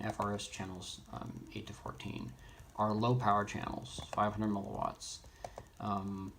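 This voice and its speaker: A man giving an unscripted how-to tutorial in a halting way, thinking aloud as he explains each step. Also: lowish voice. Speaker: instructor in a radio how-to tutorial. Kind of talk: unscripted screen-demo tutorial. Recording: noisy, keyboard and mouse clicks. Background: noise, typing and clicking.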